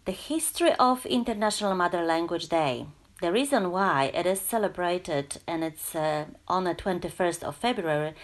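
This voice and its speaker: A woman hosting a radio show with a fairly low voice. She reads through prepared facts at a steady pace before turning to her guests with questions. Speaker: radio host. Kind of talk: scripted radio talk.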